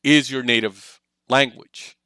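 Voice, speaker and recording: A man's voice: mid-range voice, steady tone, slow, sharp tone, clear, resonant tone, no room sound, studio quality. A man hosting a podcast, chatting casually with a guest in an interview style. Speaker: podcast host.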